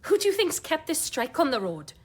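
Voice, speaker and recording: An actress playing a defiant, principled doctor's wife in a radio drama, with a combative, sardonic way of talking. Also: female voice, high voice, very animated, fairly quick. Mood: indignant. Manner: combative, sharp-tongued, sardonic. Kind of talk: naturalistic radio drama dialogue.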